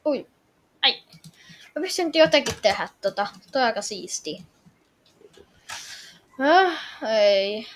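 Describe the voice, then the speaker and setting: Woman gamer, very high voice, excitable, repetitive short callouts during casual online play. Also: pauses often. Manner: excitable, repeats short phrases and exclamations. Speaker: gamer playing an online game with others. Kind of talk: casual gameplay chatter with excited shouting.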